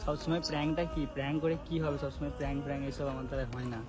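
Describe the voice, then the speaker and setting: A man's voice: a little high, steady tone, very fast. A man talks casually but seriously, pressing his points with repeated rhetorical questions. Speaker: prank vlogger. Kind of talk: staged serious phone conversation, casual. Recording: loud background music. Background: music.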